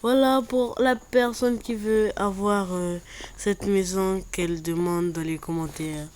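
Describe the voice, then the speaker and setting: A woman with a cold and a stuffy voice, chatting slowly through a building game, often repeating herself. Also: medium pitch, steady tone, darkish tone, a little hiss or hum. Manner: slow, repeats words while building. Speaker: Minecraft YouTuber. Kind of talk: casual gameplay commentary.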